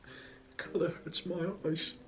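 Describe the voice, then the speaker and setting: Man doing a high, fragile grieving mother. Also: monotone, slow pace, fairly bright tone, slight background noise. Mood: tearful, strained. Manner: tender, fragile, high-pitched. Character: gentle grieving working-class mother, tender and brave. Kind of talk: dramatic storybook character voice. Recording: music behind the voice.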